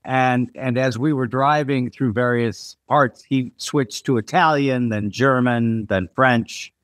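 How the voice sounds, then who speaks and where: Relaxed podcast host, man. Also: medium pitch, slow pace, dry recording, clean studio sound. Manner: relaxed storytelling with short agreements. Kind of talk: casual conversation.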